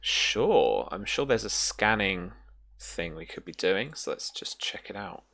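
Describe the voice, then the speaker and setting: Game master for tabletop session: deep register with a gravelly edge, informal, thinks out loud. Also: big pitch swings, unhurried. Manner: casual, thinks aloud while looking up rules. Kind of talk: casual tabletop roleplaying narration.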